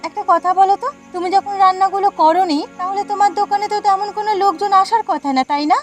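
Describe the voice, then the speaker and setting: A woman's voice: extremely high pitch, steady tone, brisk, soft background music. A woman voicing a nosy, suspicious village neighbour in a cartoon, prying and insistent. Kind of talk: cartoon dialogue acting.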